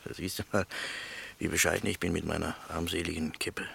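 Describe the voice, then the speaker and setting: An actor playing a laid-back, stubborn escaped war prisoner in a radio play, gravelly, grumbling and dryly ironic in everyday slang. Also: male voice, low voice, little pitch movement, brisk, fairly bright tone, a little hiss or hum, exaggerated voice. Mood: dry, unbothered. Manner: colloquial, nonchalant, dryly ironic.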